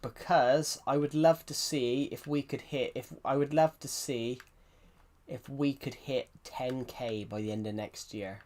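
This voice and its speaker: A man's voice: mid-range voice, fairly even intonation, measured pace, a little mellow. A young man from England with a Southwest English accent, chatting casually while streaming a game, rambling, restarting his sentences and reading viewer messages aloud. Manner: rambling, restarts a lot, reads chat aloud. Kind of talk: casual live-stream chat. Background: faint music and keyboard typing.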